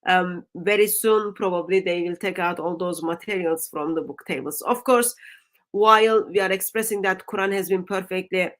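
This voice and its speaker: A woman's voice: lowish voice, normal speed. Emphatic woman with a Turkish accent. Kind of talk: live discussion with evangelistic exhortation.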